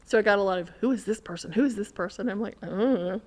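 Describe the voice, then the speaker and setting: A woman's voice: mid-range voice, very fast. A woman in a casual podcast conversation, telling an anecdote and acting out quoted remarks as she goes.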